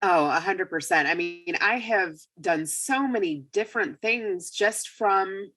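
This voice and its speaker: A woman with a raspy voice, getting over a cold, speaking as an upbeat, emphatic guest in a casual podcast interview. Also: medium pitch, measured pace, fairly bright tone, soft background music, rough recording.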